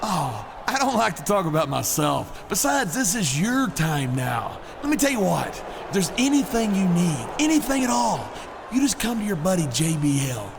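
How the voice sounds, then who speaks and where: A man playing an oily, falsely friendly, boastful wrestler in a video game cutscene, effusive with flattery. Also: high-pitched, moderate pace, creaky, heavily acted. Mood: flattering, jovial. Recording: noisy game cutscene audio.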